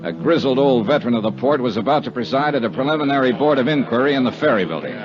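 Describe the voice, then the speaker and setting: A man's voice: mid-range voice, lively intonation, quick pace, music in the background, natural voice. An American man playing a wry, wisecracking insurance investigator who narrates his own case in 1950s radio drama style. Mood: crisp narrating. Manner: wisecracking, colorful storytelling. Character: wry, breezy freelance male insurance investigator. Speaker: lead actor and first-person narrator. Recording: dull vintage 1950s radio recording.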